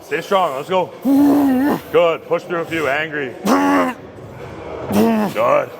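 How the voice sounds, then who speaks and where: A man's voice: a little high, gravelly, background noise. A young Canadian man coaching a training partner through reps in a gym, pushing him on with short urgent calls. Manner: urgent barked encouragement. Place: gym with loud music. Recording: loud music behind.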